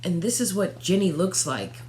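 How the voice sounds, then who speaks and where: A young woman reading a children's storybook aloud, narrating expressively in the first person with dramatic pauses. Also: lowish voice, sing-song, darkish tone, a little hiss or hum. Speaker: storybook reader. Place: small furnished room at home.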